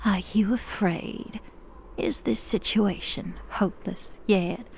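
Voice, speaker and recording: A woman's voice: medium pitch, steady tone, slow pace, darkish tone, in a whisper. A woman playing a taunting, playful, cruel demoness in an audio drama, with a teasing delivery. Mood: menacing.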